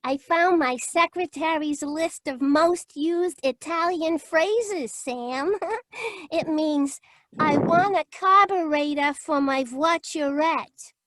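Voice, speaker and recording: Actress as a high-pitched playful girlish secretary. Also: slow, a bit bright. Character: playful, adoring young female secretary. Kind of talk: live old-time radio drama acting.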